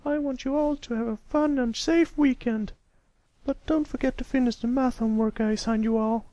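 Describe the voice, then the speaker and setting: A man voicing a kindly, concerned female pony schoolteacher in falsetto, in a storybook reading. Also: steady tone, normal speed, dark tone, no room sound, dull audio. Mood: gentle.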